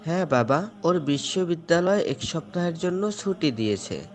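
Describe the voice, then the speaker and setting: A man's voice: on the high side, expressive, moderate pace. A man playing a devout father in an Islamic moral cartoon, speaking earnestly and patiently as he instructs his children. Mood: gentle. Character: devout, gently admonishing father of two.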